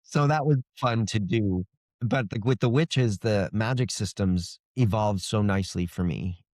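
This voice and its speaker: A man with a fairly low voice, talking as a guest author in a casual interview. He explains things informally in loose, conversational phrases, and the sound is very dry. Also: measured pace, darkish tone, no room sound.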